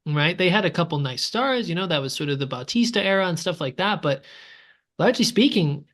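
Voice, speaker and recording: Podcast co-host from Canada, man, a bit higher-pitched, chatty, leans on "right?". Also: expressive, brisk, a bit bright. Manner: conversational, tags statements with "right?". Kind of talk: casual sports chat.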